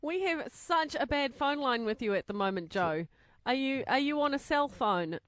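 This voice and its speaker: Chatty female co-host with a New Zealand accent, high voice and dry studio sound. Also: animated, normal speed, no echo. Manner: giggly, chatty. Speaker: radio co-host. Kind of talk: casual radio chat.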